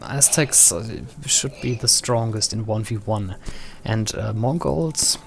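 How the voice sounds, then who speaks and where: A man's voice: lowish voice, slow, vocal fry, background noise. A man from Germany casting a strategy game match in an easygoing way, with many fillers and agreeing murmurs. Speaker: caster. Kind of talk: esports play-by-play commentary.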